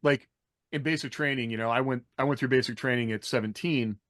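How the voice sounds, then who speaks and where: A man's voice: mid-range voice, brisk, a bit bright, dry recording, studio quality. An American man co-hosting a podcast in a casual, rambling, anecdotal way, peppering his talk with "like". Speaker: podcast co-host. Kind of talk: casual chat about military life and fiction.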